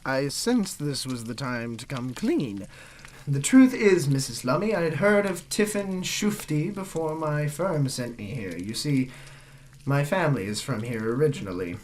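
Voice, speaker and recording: A woman's voice: extremely low pitch, lively intonation, measured pace, darkish tone, a little hiss or hum. A woman playing a polite, flustered young man visiting a village in a comic audio drama. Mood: awkward, earnest. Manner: polite, hesitant, increasingly exasperated.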